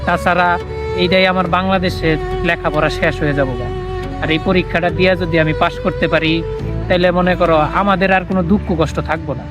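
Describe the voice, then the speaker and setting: A man's voice: sits high, natural voice. A young man acting an anxious, pleading student brother in a family drama, with a rural Bangladeshi accent. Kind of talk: naturalistic Bengali TV drama acting.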